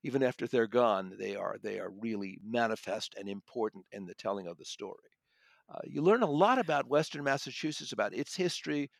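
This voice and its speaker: A man's voice: fairly low pitch, animated, fairly quick, gravelly, no echo, clean studio sound. A man reviewing books in conversation as a podcast guest, enthusiastic and considered in how he talks. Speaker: audiobook critic and podcast guest.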